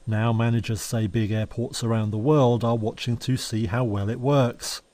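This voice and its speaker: A man's voice: mid-range voice, fairly even intonation, moderate pace, dark tone. A man narrating a scripted news report as a reporter.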